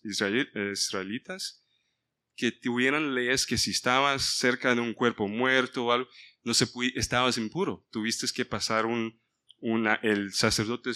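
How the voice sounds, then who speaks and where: Bright-voiced man leading a Bible study. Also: mid-range voice. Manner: mixes in English words, stops to ask the group for help. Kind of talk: informal Bible study sermon.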